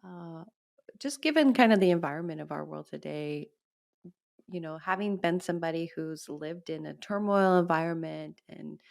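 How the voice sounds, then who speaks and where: A woman hosting a podcast, asking interview questions in a friendly, encouraging way. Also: mid-range voice. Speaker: podcast host.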